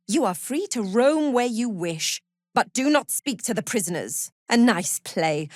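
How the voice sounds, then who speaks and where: A woman playing a cruel, zealous cult prison warden in a fantasy video game, haughty and sardonic, with measured, threatening delivery. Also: medium pitch, no echo, clean studio sound, close to an everyday voice. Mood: cold fervour. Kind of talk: video game character voice acting.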